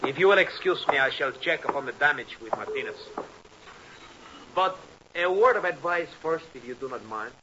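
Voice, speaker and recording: An actor playing a smooth, menacing Latin American businessman, formal and measured, in radio drama. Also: fairly high pitch, expressive, natural voice. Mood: coolly threatening. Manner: formal, measured, veiled threats. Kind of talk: 1950s radio drama acting. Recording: muffled old radio recording, hiss.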